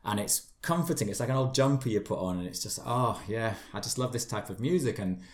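A man's voice: fairly low pitch, lively intonation, a little fast. A man chatting casually as a podcast panelist, reviewing songs with emphatic, effusive repetition.

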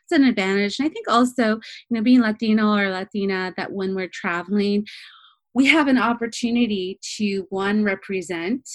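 A woman guest on a podcast, talking in a lively, casual way, restarting her sentences often. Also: mid-range voice, moderate pace, no echo. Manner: animated, restarts sentences, many fillers. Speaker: travel journalist guest.

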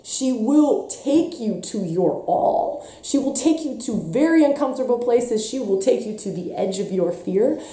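A woman's voice: on the high side, expressive, a little slow, hardly any pauses, reverberant. A woman giving an impassioned spiritual talk, emphatic and intense by turns, with a slightly stuffy voice. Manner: passionate, emphatic, swings between soft and intense. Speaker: spiritual teacher.

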